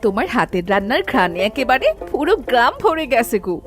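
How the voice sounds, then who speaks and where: A woman's voice: on the high side, big pitch swings, fast talker, over music. A woman playing an amazed, inquisitive village neighbour in a fairy-tale cartoon, full of exclamations. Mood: amazed, curious. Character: amazed, curious village neighbour eager to eat.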